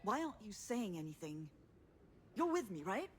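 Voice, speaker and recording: Casting: woman playing fiercely loyal, combative sister in game drama; mid-pitched, blunt, insistent; background noise behind. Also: big pitch swings, normal speed, lightly acted. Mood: urgent, insistent. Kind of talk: naturalistic video game drama acting.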